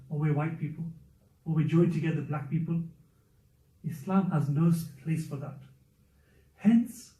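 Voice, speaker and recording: A man's voice: a little high, recorded from a distance. A man giving a religious lesson to a youth and parents circle, talking earnestly and repeating his main points. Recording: muffled, echoey room, speaker away from mic.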